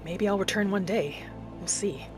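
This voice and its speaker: A woman voicing an earnest, vengeful young battle mage in a dramatic, deliberate first-person fantasy roleplay. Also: mid-range voice, over music, lightly acted. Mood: reflective. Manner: dramatic, deliberate, thinking aloud in character.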